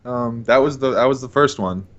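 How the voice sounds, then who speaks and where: A man's voice: mid-range voice, normal speed, a bit bright, dull audio. A man in his twenties talking casually as an interview guest, rambling and hesitant, with an amused manner. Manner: hesitant, rambling, self-amused with frequent chuckles. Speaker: interview guest, online voice actor. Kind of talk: casual chat.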